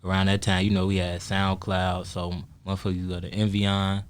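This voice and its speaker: A young man co-hosting a radio podcast, chatting in a relaxed, slangy, easygoing way. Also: low voice, normal speed, smooth. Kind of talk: casual interview chat.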